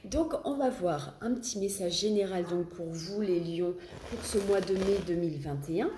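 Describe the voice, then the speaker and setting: A woman with a French accent and a cold, giving a casual tarot reading, often hesitating and searching for words. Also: fairly low pitch. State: has a cold. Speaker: tarot card reader. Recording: echoey room, faint background noise.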